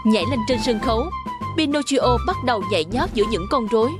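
A woman's voice: a little high, expressive, fast, over music. A woman narrating a scripted fairy tale for children.

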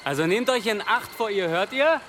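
A man playing an affectionate, easily alarmed young brother in a German audio drama, excitable and nervous. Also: sits high, normal speed, noisy background. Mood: fond, teasing.

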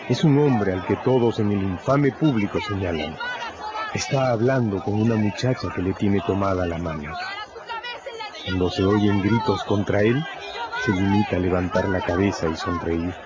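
A man narrating a radio drama in a measured, solemn, dramatic scripted style. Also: fairly low pitch, heavy background noise. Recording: dull recording, loud music and crowd behind.

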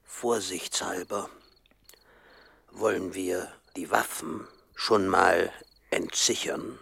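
An actor playing a grave gang boss in a radio play, deliberate and ominous. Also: male voice, sits low, steady tone, gravelly, fairly bright tone, slightly rough, full character voice. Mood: tense, grave. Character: slow, grave gang boss lying in wait.

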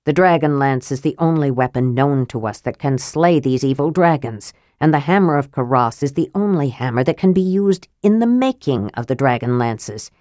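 A man's voice: mid-range voice, expressive, moderate pace, few pauses, a little mellow, no room sound, studio quality, pitched below the performer's own voice, close to an everyday voice. A woman narrator playing a proud, earnest male knight in a fantasy audiobook, urgent and formal. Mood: earnest persuasion.

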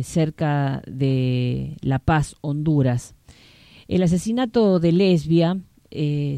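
A woman co-hosting a radio show, reading news in a grave, measured way with small pauses. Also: low-pitched, mellow, no echo, clean studio sound. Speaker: radio co-host.

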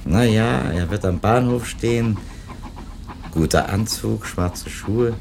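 An actor playing an earnest, reflective literary editor in a naturalistic radio play, with hesitant, dryly ironic turns. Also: male voice, fairly low pitch, slow, gravelly, a little mellow, a little hiss or hum. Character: earnest, musing male publishing editor visiting an author.

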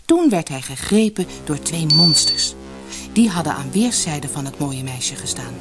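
A woman narrating a fairy tale for an audiobook in an expressive, dramatic storytelling manner. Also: deep voice, moderate pace, over loud music.

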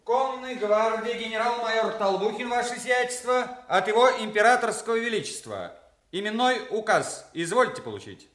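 Ceremonious military courier, higher-pitched. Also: male voice, lively intonation, moderate pace, a bit bright. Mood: brisk, formal. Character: formal male imperial cavalry general acting as courier. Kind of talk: Soviet radio drama, formal proclamation.

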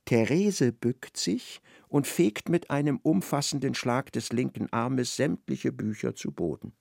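An actor narrating a literary radio play in a precise, measured way. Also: male voice, mid-range voice, expressive, gravelly, darkish tone, no room sound, clean studio sound. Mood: measured narration.

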